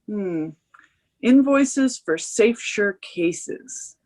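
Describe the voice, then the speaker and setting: A woman's voice: medium pitch, expressive, drawn-out words, a little mellow. A woman acting as a dry, sardonic tech office worker in a screenplay table read. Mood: curious. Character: wry, sardonic female tech office worker helping a friend.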